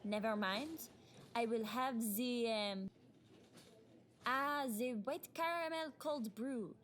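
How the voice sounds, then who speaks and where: A woman's voice: a little high, slow, fairly bright tone. A woman playing an entitled, doting dog owner and demanding customer, haughty and melodramatic, with faint noise behind her. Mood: indignant.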